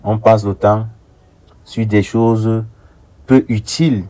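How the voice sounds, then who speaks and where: A young man from Africa giving an earnest, deliberate opinion monologue, repeating phrases for rhetorical emphasis as an online commentator. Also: fairly low pitch, steady tone, mellow, clean tone. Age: young adult.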